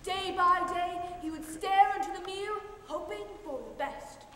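A woman's voice: extremely high pitch, normal speed, sharp tone. A woman playing a storybook narrator who tells a comic tale theatrically on stage. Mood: storytelling. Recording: very echoey theatre hall, faint noise.

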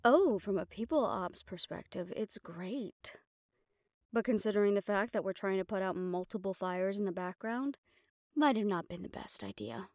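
Audio roleplay: sardonic female personal assistant to a crime boss, played by a woman, mid-pitched, businesslike yet familiar, dry close sound. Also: animated, moderate pace, a little mellow, dry recording, natural voice. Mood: dry, confident. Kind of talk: intimate scripted audio roleplay, one-sided dialogue.

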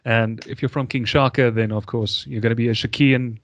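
A man's voice: medium pitch, fairly even intonation, fairly quick, lo-fi sound, crowd noise. A man with a South African accent hosting a podcast interview in florid, theatrical phrasing. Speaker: podcast host. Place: indoors, remote video call. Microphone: large desk microphone, close.

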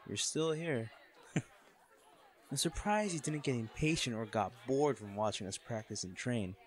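A man's voice: medium pitch, expressive, a little slow, some chatter behind, lightly acted. A man playing a cocky college volleyball captain. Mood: teasing. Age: young adult. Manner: laid-back, laughs often, swears casually. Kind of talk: audio roleplay to a listener.

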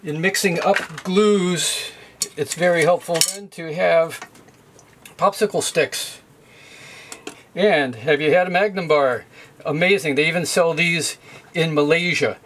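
A man's voice: on the high side, measured pace, pauses often, a bit bright, slight background noise. A middle-aged man demonstrating at a boat's galley sink, explaining steps in a plain, methodical way with occasional fillers. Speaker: sailing how-to video host. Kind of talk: hands-on demonstration.

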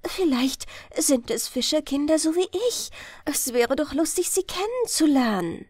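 A woman's voice: sits high, animated, unhurried, darkish tone, dry recording, voice pushed up. A woman voicing a curious, wistful twelve-year-old fisherman's daughter in a storybook fairy tale. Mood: wondering, longing.

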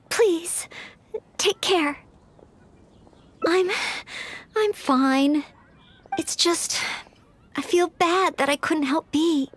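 Earnest, very high-voiced young maid knight. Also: female voice. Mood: dejected, resolute. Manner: polite, hesitant, apologetic. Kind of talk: anime-style video game dialogue.

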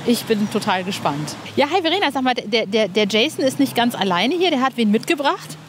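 A woman's voice: on the high side, big pitch swings, brisk. A woman hosting a podcast, chatting and interviewing in an informal, enthusiastic way. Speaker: podcast host. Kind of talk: excited podcast interview and chat. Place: outdoors in a cemetery. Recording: clear outdoor background noise.

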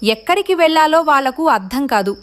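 A woman's voice: high voice, animated, fairly quick. A woman reading scripted narration for a children's moral story as the storyteller.

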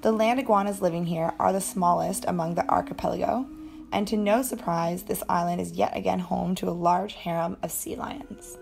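A woman's voice: fairly low pitch, moderate pace, creaky, background noise. A woman reading scripted narration as a voice-over. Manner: even, informative read. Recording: voice-over with background music.

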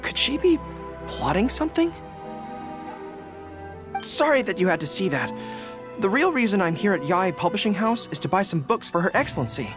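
A young male dog-eared general from a video game. His delivery swings widely in pitch, earnest and emphatic, quick to get flustered. Music plays clearly behind him. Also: very animated. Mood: uneasy, earnest. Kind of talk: acted video game dialogue.

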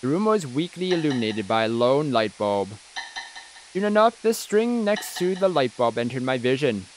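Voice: medium pitch, very animated, normal speed, a little hiss or hum, low-quality recording. A let's play host reading visual novel narration aloud, with casual asides.

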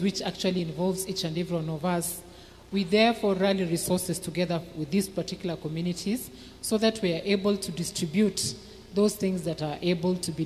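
Middle-aged Kenyan woman, low earnest voice. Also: darkish tone. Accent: Kenyan English. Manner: earnest, measured, restarts and fillers. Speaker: church community service panelist. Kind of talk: panel discussion, explaining. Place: church TV studio set with plants, seated. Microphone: handheld mic. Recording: long hall reverb, faint noise.

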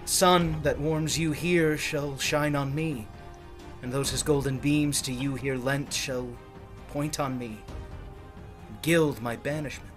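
A man playing a proud young noble who accepts exile, speaking the verse in a calm, measured way over a webcam. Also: medium pitch, faint music behind, natural voice. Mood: resolute. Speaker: actor. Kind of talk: staged Shakespeare reading. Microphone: webcam on a video call.

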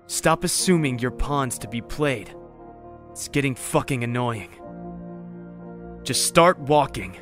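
A man's voice: medium pitch, lively intonation, slow pace, pauses often, music in the background. A man playing a jaded, grieving survivor in a zombie fan drama, talking in a curt, weary, sardonic manner. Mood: blunt, frustrated. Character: jaded, blunt, grieving male survivor, bitter but loyal. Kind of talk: dramatic audio visual-novel fan drama.

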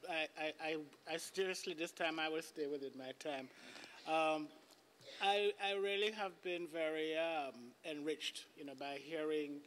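A man's voice: a little high, unhurried, fairly bright tone, a little hiss or hum. An older man giving unscripted panel remarks in a conference room, often repeating words as he searches for the next. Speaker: panelist, human rights specialist. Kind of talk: extemporaneous closing remarks on a panel. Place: large indoor conference room. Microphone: desk conference microphone.

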